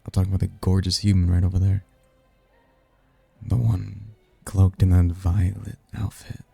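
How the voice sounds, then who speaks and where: Man playing a deep, gravelly, seductive male demon. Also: little pitch movement, dark tone, no room sound, soft background music, full character voice. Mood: bored, then intrigued. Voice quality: low, gravelly, husky. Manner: smooth-talking, teasing, slow and seductive. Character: suave, flirtatious male demon at a masquerade ball. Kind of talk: ASMR roleplay acting.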